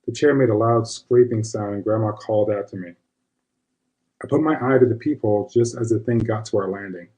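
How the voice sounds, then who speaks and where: A man's voice: lowish voice, brisk, warm tone, natural voice, low-quality recording. A man playing an ordinary, square fellow telling a first-person tale in a conversational, confiding way. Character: self-described square man recalling his witch grandmother. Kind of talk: first-person storytelling monologue.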